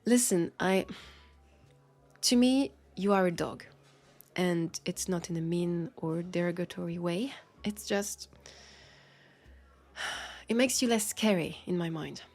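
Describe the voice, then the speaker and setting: Husky French-accented woman as a vampire detective. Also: lowish voice, a little mellow, faint music behind. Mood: teasing. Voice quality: husky, creaky, breathy. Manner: unhurried, conversational, with pauses and sighs. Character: centuries-old female vampire detective, wry, playful, battle-weary. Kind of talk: intimate first-person audio roleplay.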